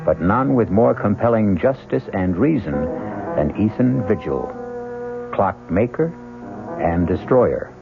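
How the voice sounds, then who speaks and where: Low-voiced vintage radio mystery host. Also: male voice, animated, creaky. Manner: theatrical, ominous, measured. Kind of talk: old-time radio scripted narration. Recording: tinny vintage radio broadcast, music, hum.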